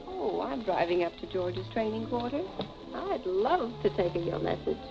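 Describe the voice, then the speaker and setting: Sardonic wealthy wife, 1940s film. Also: female voice, mid-range voice, big pitch swings, moderate pace, creaky, muffled recording. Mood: archly teasing. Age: young adult. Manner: arch, dry, clipped irony. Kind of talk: 1940s Hollywood film acting. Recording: old film soundtrack, music behind.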